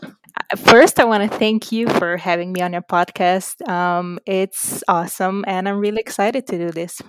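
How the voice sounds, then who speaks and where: A woman's voice: mid-range voice, steady tone, normal speed, a bit bright, clean tone, dry recording. A young woman from Slovenia, a native Slovenian speaker, chatting casually as a podcast guest. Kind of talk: casual chat.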